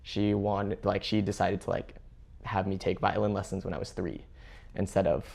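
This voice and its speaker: Young man, low and gravelly, casual and hesitant interview talk. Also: flat delivery, darkish tone. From Seattle area, United States. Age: young adult. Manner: halting, many fillers and restarts. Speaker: interview guest. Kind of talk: casual conversation.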